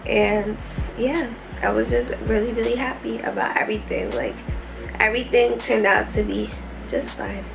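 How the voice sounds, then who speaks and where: A woman's voice: on the high side, animated, normal speed, creaky, music in the background, rough recording. A young woman vlogging casually and candidly from a hospital room, laughing often, tired and stuffy-nosed.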